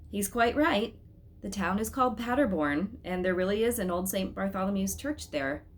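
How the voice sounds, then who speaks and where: A woman reading a storybook as a father, inquiring and measured, with a stern edge. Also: medium pitch, lightly acted. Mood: astonished, decisive.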